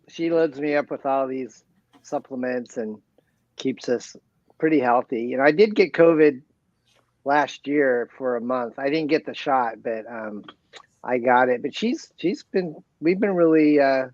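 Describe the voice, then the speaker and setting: A man's voice: medium pitch, unhurried, darkish tone, no room sound. A man of about sixty chatting casually as a guest, with a mild, modest, slightly hesitant manner, over lo-fi audio. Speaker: live chat guest. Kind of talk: casual chat.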